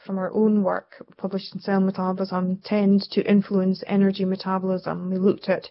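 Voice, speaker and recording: A woman researcher answering interview questions in a measured, explanatory way. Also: medium pitch, level tone. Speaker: researcher guest. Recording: dull lo-fi broadcast sound.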